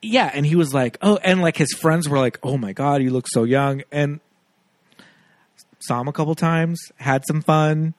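A man's voice: a little high, moderate pace, no room sound. A man, a podcast host, telling stories in a chatty way with many fillers in a casual film chat.

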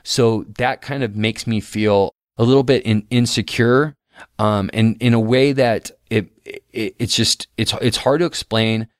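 A man's voice: lowish voice, unhurried, no room sound, clean studio sound. A middle-aged man talking casually as a podcast guest, giving relationship advice with frequent restarts. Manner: conversational, frequent restarts and fillers.

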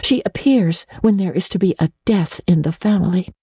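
A woman's voice: fairly low pitch, normal speed, warm tone, slightly rough, no room sound. A woman voicing an earnest, romantic young girl in a dramatic storybook reading, gushing and breathless, tripping over eager repetitions. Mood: dramatic, thrilled. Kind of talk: dramatic audiobook reading of classic children's literature.

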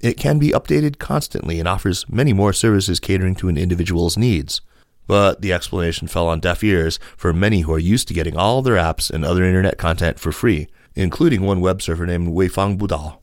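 A man reading scripted business news stories as a podcast host, in a measured, formal way with added emphasis on quotes. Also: low-pitched, no echo, clean studio sound.

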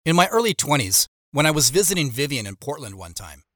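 A man playing a lost, restless traveler who tells his own journey in measured, dramatic audio drama narration. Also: fairly high pitch, big pitch swings, a bit bright, no echo, studio quality. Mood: reflective.

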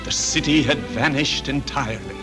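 A formal, dramatic storybook narrator played by a man tells the scene in old-time radio style. Loud music swells behind the voice. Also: medium pitch, moderate pace, lightly acted.

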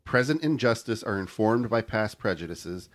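A man's voice: fairly low pitch, animated, normal speed. A man hosting a podcast, reading a scripted story and then chatting and teasing his co-hosts. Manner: laughs easily, teasing. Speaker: podcast host.

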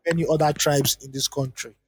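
A man who co-hosts a podcast, reading a listener's letter aloud and then chatting about it in quick bursts with frequent restarts. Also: a little high, fairly even intonation, in a large hall, a little hiss or hum. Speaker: podcast co-host.

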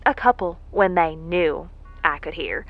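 A woman playing an earnest, vulnerable worker recording a heartfelt message in an audio drama, speaking in a folksy, halting, reflective way. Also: lowish voice, lively intonation, dry recording. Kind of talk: intimate monologue in an audio drama. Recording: muffled lo-fi audio with music behind. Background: music.

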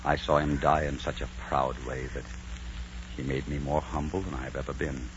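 An actor playing a proud Spanish conquistador commander in love, in melodramatic 1940s radio style. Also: male voice, extremely low pitch, fairly even intonation, moderate pace. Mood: grieving, humbled. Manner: melodramatic, swinging from bellowed orders to hushed tenderness. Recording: muffled, noisy 1940s radio recording.

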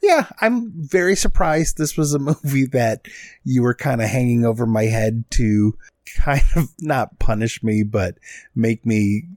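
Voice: medium pitch, expressive, a little slow, a little mellow, dry recording, studio quality. A podcast co-host chatting casually about films in a joking, sweary way.

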